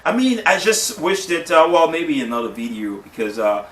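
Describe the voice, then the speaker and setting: A man's voice: fairly high pitch, big pitch swings, moderate pace, a bit bright. Earnest Haitian man explaining at length. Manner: earnest, explanatory, repeats "you see what I'm saying". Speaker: guest. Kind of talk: casual discussion. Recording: echoey room, mic at a distance, faint noise.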